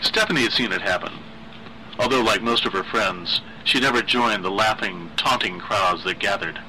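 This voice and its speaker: A man narrates a horror story in a dramatic style. His voice sits a little low but is strikingly bright and forceful. A faint noise runs behind the recording. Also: moderate pace, low-quality recording.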